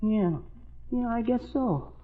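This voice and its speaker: A performer playing a sheepish, hesitant kid brother with a husky voice, in 1940s radio comedy-drama style. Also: mid-range voice, lively intonation, slow pace. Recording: thin, muffled vintage radio recording.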